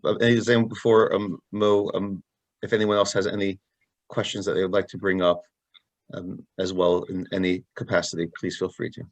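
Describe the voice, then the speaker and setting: A man hosting a question-and-answer session, speaking haltingly with lots of ums. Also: low-pitched, little pitch movement, no echo. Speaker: host. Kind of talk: moderating a Q&A.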